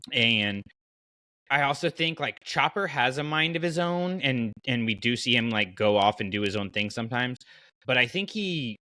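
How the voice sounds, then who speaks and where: A man hosting a casual fan podcast, talking in an animated, colloquial way and explaining his reasoning at length. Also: on the low side, dry recording. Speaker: podcast host.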